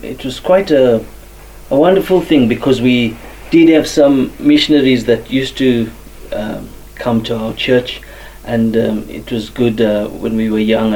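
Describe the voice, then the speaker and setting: A man's voice: medium pitch, distant mic, rough recording. A man from Zimbabwe, a guest sharing his personal story in a hesitant way, with many ums and uhs. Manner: many fillers, soft-spoken.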